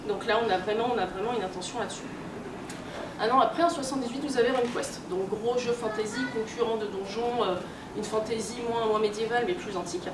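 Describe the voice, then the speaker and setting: A woman's voice: a little high, steady tone, quick pace, recorded from a distance. A woman giving an informal public lecture in a conversational, hesitant way. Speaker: conference speaker, game publisher and researcher. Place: convention talk room, indoors. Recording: echoey room from afar, strong background noise.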